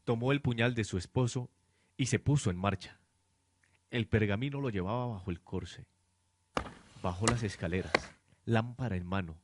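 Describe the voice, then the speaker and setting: A man's voice: lowish voice, moderate pace, pauses often, a little hiss or hum. A man reading scripted narration for a radio drama in a dramatic storytelling manner.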